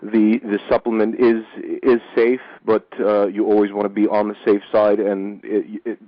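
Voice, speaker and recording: A male radio host with a lowish voice, talking in a steady, measured way and often doubling a word as he gets going. The sound is dry and dull, like an old lo-fi radio recording. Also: no room sound. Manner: measured, repeats words when starting phrases. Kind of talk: call-in radio hosting.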